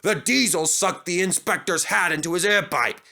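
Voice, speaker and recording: A man's voice: high-pitched, moderate pace, creaky, bright, forceful tone, voice pushed up, heavily acted. A man voicing a jovial, gossipy, mocking big steam engine in a children's story. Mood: amused, gloating.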